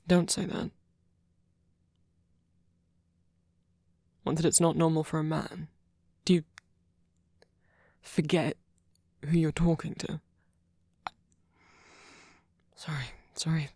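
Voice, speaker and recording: A man playing a tender, fretful vampire boyfriend in an ASMR roleplay, speaking softly and hesitantly, often close to a whisper. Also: on the high side, a little slow, pauses often, no room sound, full character voice. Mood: defensive, earnest. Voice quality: breathy, often near whisper. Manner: soft-spoken, hesitant, stammering, tender. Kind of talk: ASMR roleplay audio drama.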